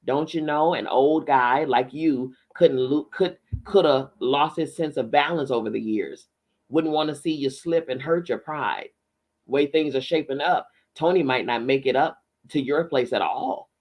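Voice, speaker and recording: A man's voice: mid-range voice, unhurried, lightly acted. A man voicing a matronly, gossipy small-town postmistress with folksy, bantering talk in a read-aloud story. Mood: teasing, motherly.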